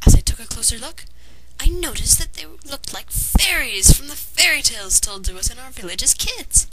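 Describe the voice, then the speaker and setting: A woman's voice: high-pitched, lively intonation, normal speed. A woman reading a fan fiction story aloud as narrator, in a dramatized way. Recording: noisy amateur home recording.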